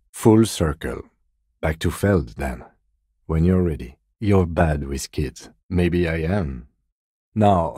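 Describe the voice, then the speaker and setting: An actor playing a composed middle-aged police detective in a video game, speaking in a measured, formal, dryly polite way. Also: male voice, very deep voice, warm tone, slightly rough, no room sound, clean studio sound, exaggerated voice. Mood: businesslike, dry. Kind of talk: dramatic game dialogue, separate voice lines.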